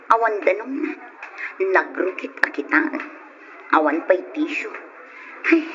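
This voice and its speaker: Napalangguad nga asawa. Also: female voice, lowish voice. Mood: disdainful, irritated. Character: proud, fussy married woman returning from Canada. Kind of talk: old radio drama acting. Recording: muffled old radio drama recording with music.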